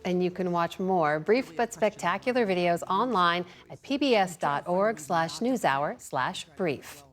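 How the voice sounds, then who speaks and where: A woman's voice: fairly low pitch, expressive, faint music behind. Polished woman news anchor. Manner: measured, polished delivery. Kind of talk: scripted news presenting.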